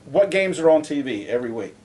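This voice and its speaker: A man co-hosting a casual sports talk show, debating his co-host and getting louder and higher when he is indignant. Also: very animated, quick pace, slight background noise.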